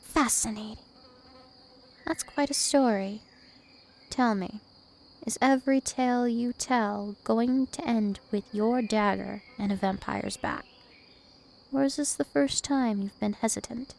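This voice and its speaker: Slow, seductive vampire princess, acted by a woman. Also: on the high side, vocal fry, darkish tone. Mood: calm, probing. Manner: slow, deliberate, teasing and possessive. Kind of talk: ASMR roleplay.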